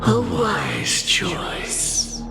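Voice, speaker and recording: An actress playing a solemn, imperious judge in a video game, speaking in grandiose, measured, formal phrases. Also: female voice. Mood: solemn, menacing. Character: solemn, imperious female judge warning off an intruder. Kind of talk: dramatic video game cutscene acting. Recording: echoing voice under loud music.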